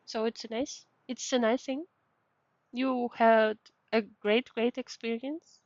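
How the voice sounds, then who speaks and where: High-pitched Russian-accented woman streamer, unhurried. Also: fairly even intonation, darkish tone, dry recording, dull audio. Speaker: VTuber streamer. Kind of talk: casual game playthrough commentary. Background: faint game music.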